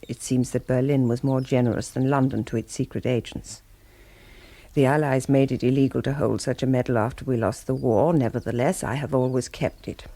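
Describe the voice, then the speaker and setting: Guy acting polite wistful old enemy agent in a radio play, medium voice, measured, formal, looking back. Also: medium pitch, steady tone, dark tone, natural voice. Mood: wistful, respectful. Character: courteous, wistful former German wartime agent. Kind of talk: radio drama, letter read in voice-over.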